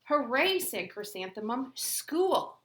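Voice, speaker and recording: A woman's voice: sits high, big pitch swings, slow, a bit bright, pitched above the performer's own voice, full character voice. A woman voicing a sensitive little schoolgirl. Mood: cheerful. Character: young schoolgirl, sensitive about her long name. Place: classroom.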